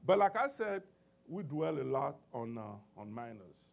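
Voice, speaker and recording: A man's voice: medium pitch, big pitch swings, moderate pace, gravelly. A man preaching a sermon, calling out for amens and working through scripture with many restarts and fillers. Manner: emphatic call-and-response preaching with many restarts.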